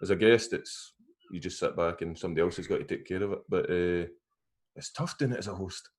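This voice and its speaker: Scottish male guest, deep voice, loose rambling delivery over a video call. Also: fairly quick. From Scotland. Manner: rambling, restarts often. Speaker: guest vape reviewer. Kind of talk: casual chat.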